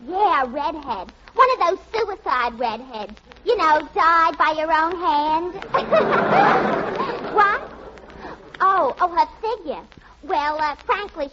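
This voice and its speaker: Catty single gal, chatty gossip, extremely high pitch, swooping delivery, vintage radio comedy. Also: female voice, full character voice. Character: gossipy, catty, man-hungry single woman at a tavern. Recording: muffled, noisy old radio recording.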